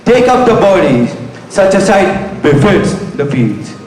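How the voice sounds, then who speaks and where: A man's voice: sits high, very animated, a little slow, a little mellow, slight background noise. A male actor playing a formal, commanding prince in stage Shakespeare, recorded from far off in an echoey hall. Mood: grave, commanding. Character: stately young conquering prince, formal and commanding.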